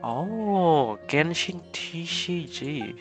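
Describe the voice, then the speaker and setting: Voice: mid-range voice, expressive, very slow. A streamer with a sore throat, sounding sick, giving informal, giggly gameplay commentary. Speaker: streamer recording a gameplay video. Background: game music and dialogue.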